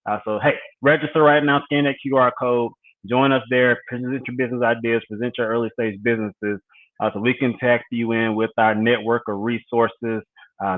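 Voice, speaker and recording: A man hosting a live online talk, upbeat and promotional in a loose, casual way. His voice comes through a thin, phone-like line. He sits at a middle pitch. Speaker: host.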